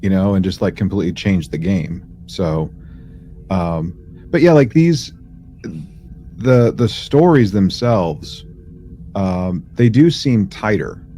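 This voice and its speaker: A man hosting a podcast in casual conversation, talking in a halting, conversational way with frequent fillers. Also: deep voice, sing-song, mellow, faint music behind. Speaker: podcast host.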